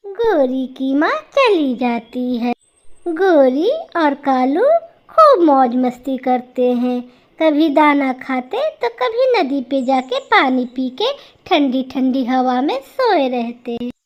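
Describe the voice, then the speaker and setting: A woman's voice: high-pitched, sing-song, takes it slowly, dull audio. A woman reading scripted narration for a children's storybook cartoon.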